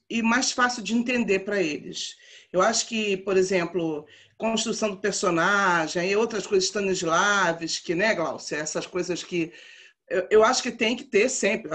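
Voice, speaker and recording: A woman in her fifties who teaches drama to young people. She speaks emphatically and repeats her points, at a middle pitch. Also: fairly bright tone. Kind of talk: class discussion.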